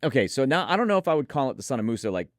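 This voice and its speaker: A man's voice: medium pitch, very animated, very fast, dry recording, studio quality. A man co-hosting a product review, talking conversationally with enthusiastic, firm opinions. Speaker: headphone show co-host reviewer.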